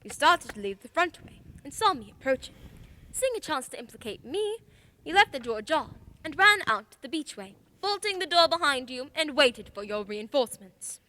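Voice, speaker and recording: A woman's voice: sits high, big pitch swings, moderate pace, bright, forceful tone, full character voice. A woman acting a confident, precise and assertive female detective in a radio play. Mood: accusing, assured. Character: confident female detective, sharp and methodical, accused of murder.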